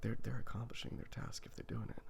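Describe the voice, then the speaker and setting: A man talking softly in ASMR style, drifting into whispers, rambling casually and haltingly with a self-deprecating manner as he sketches. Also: mid-range voice, level tone, a little hiss or hum. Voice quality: hushed, often breaking into whisper, creaky. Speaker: host of a drawing ASMR video. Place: hotel room. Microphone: phone.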